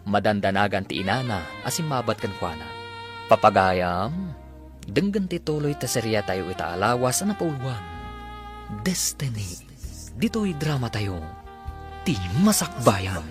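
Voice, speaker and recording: Deep-voiced Ilocano radio drama announcer, a man. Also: sing-song. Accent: Filipino, Ilocano. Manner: theatrical, drawn-out emphasis. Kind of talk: dramatic scripted narration. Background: music.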